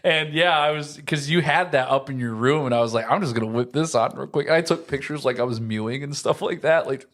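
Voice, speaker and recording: A man's voice: medium pitch, fairly quick, few pauses, fairly bright tone. An American man in his early thirties chatting casually as a podcast co-host, rambling and leaning on filler words. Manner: rambling, frequent "like". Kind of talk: casual chat.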